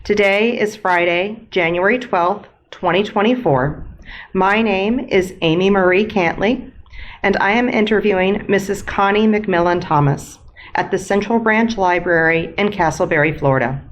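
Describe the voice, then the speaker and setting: A woman conducting an oral history interview, opening with a formal scripted introduction and asking polite, measured questions. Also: mid-range voice. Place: library room.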